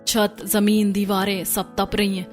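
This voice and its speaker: A woman's voice: medium pitch, completely flat delivery, measured pace, darkish tone, faint music behind, close to an everyday voice. A woman playing a patient, polite young daughter-in-law in a cartoon family drama. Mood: weary, troubled. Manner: earnest, polite.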